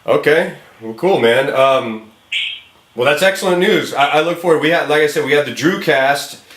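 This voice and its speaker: A man's voice: fairly high pitch, moderate pace, background noise. A man speaking casually and encouragingly as a film director, in an informal, conversational way. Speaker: film director casting an actor. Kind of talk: casual phone call and talk to viewers. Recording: roomy, echoey room sound.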